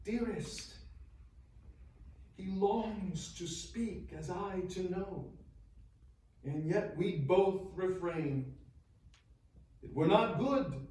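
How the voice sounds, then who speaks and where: A man's voice: fairly high pitch, darkish tone. An actor playing a grieving churchman bidding a lost friend farewell, in a solemn, declamatory stage recitation. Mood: yearning. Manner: solemn, declamatory, lingering on phrases. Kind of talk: dramatic stage recitation of verse and sermon. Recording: distant, very echoey live hall sound.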